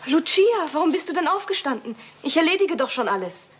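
A woman's voice: high voice, very animated, brisk, fairly bright tone, a little hiss or hum. An actress playing a frank, chatty young woman of the household in a German crime radio play. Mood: frank, casual.

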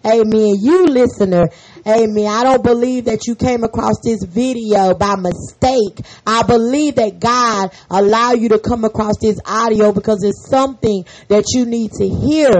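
A hoarse woman hosting a faith podcast, leading a fervent spoken prayer and an encouraging sermon full of repeated amens. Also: on the high side, moderate pace, a little mellow, poor audio. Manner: fervent, repetitive, peppered with amen. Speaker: podcast host and prayer leader.

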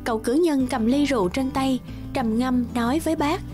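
A woman's voice: high voice, moderate pace, over music. A woman narrating a scripted fairy tale for children.

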